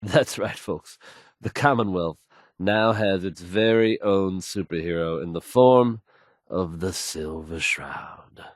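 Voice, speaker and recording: Casting: smooth wasteland radio host, lower register, dry sound, confident wry patter between songs. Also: male voice, takes it slowly, no room sound. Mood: wry, upbeat. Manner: folksy, wry, addresses listeners as folks. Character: confident smooth-talking male post-apocalyptic radio DJ.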